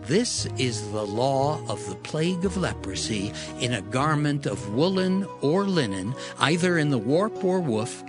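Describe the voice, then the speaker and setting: Male scripture reader. Slow, solemn, deliberate delivery with music clearly underneath. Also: medium pitch, big pitch swings. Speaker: audio Bible reader. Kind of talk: dramatized scripture reading. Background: background music.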